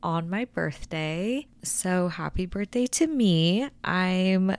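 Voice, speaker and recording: A woman's voice: on the low side, animated, takes it slowly, clean tone. A woman in her twenties hosting a casual solo podcast, chatty and rambling with lots of filler words. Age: 20s. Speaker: podcast host.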